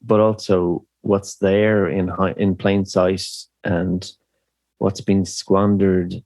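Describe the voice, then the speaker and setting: Low-voiced Irish man, halting and thoughtful. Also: flat delivery, darkish tone, no room sound. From Ireland. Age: middle-aged. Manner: thoughtful, halting, many fillers and restarts. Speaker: musician guest. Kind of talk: reflective podcast interview.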